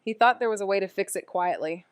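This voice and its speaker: A woman's voice: medium pitch, fast, clean tone, dry recording, clean studio sound, lightly acted. A woman playing an earnest amateur investigator in an audio drama, with a casual, thinking-aloud way of talking. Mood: serious, urgent. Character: Amy, eager young woman amateur investigator who narrates. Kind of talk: audio drama dialogue and first-person narration.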